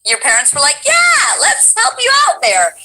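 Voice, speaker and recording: A woman hosting a casual live stream, bubbly and excitable as she interviews her guest over a video call. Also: high voice, normal speed, noisy background. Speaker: streamer host.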